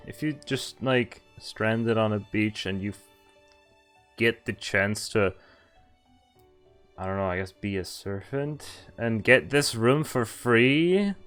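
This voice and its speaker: Male streamer, lowish voice, wry casual read-along of a visual novel, music underneath. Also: takes it slowly, long pauses, fairly bright tone. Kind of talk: casual game walkthrough, reading dialogue aloud and commenting. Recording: game music behind the voice.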